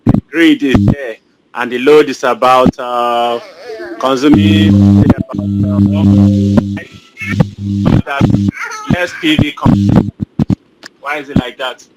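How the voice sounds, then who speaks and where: A man asking a question on a video call, halting and searching for words. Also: mid-range voice, over loud music, rough recording. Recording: loud background noise on a call.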